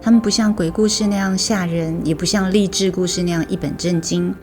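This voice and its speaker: A woman's voice: fairly low pitch, steady tone, fairly quick, a little mellow. A woman with a Taiwanese accent, a storytelling host reading scripted narration. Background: music bed.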